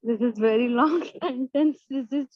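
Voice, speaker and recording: A woman student on a video call reading haltingly and asking for help remembering words. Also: fairly high pitch, no echo. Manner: hesitant, self-deprecating, halting. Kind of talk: reading aloud in class. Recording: dull dry call audio.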